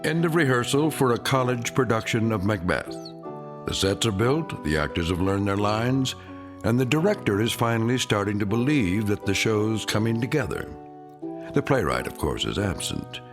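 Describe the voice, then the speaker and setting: A wry, knowing narrator of an eerie radio tale. His low voice swings expressively through dramatic scripted lines, with music clearly behind him. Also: very animated, normal speed. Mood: knowing, measured.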